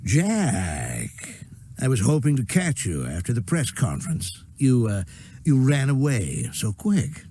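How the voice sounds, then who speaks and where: Slow, smug corrupt mayor. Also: male voice, medium pitch, huge pitch swings, warm tone, husky, full character voice. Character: arrogant, corrupt city mayor, smooth and veiled in threat. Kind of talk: scripted video game drama.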